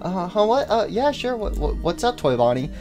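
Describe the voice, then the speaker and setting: A man's voice: high-pitched, sing-song, moderate pace, a little mellow, soft background music. A man voicing a chirpy, friendly, oblivious female toy chicken animatronic in a comedy cartoon, chatting in a flustered, rambling way. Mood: cheerful, casual. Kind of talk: amateur comedy cartoon dialogue.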